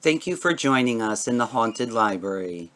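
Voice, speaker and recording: A man's voice: medium pitch, expressive, moderate pace, a bit bright. A man acting as host, giving a scripted introduction and sign-off for a program of readings. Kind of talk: scripted announcement.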